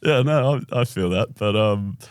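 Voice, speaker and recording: A man's voice: lowish voice, animated, normal speed, smooth, no echo, clean studio sound. A man with an Australian accent co-hosting a podcast, easygoing and wry. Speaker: podcast co-host. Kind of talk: casual podcast chat.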